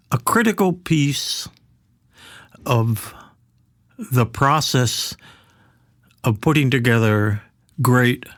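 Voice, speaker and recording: A middle-aged man hosting a podcast conversationally, halting and emphatic, often repeating phrases to drive them home. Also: mid-range voice, fairly bright tone, no echo, studio quality. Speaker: podcast host.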